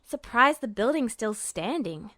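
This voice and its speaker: A woman's voice: a little high, animated, a little slow, pushed and bright, dry recording, clean studio sound, exaggerated voice. A woman voicing a grumbling, sarcastic teenage boy with a blunt, casual delivery in a story reading. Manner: muttering, retorting, casual slang.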